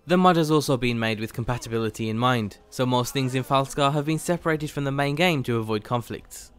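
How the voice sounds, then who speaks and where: A man's voice: mid-range voice, fairly quick, clean tone. A man hosting a game mod video review, reading scripted narration. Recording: faint music behind the voice. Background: faint music.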